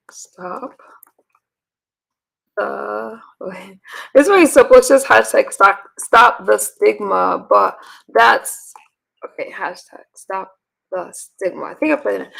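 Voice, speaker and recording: Hoarse female stream host, very gravelly, chatty and rambling. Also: a little high, fairly bright tone. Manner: rambling, talks to the chat directly. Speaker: livestream host. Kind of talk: casual livestream chat.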